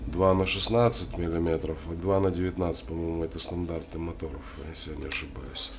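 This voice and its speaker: A man with a cold giving a casual hands-on tutorial, halting and thinking aloud as he works. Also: low voice, steady tone. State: has a cold. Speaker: DIY tutorial host. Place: indoors at a wooden worktable. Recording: clearly noisy home recording.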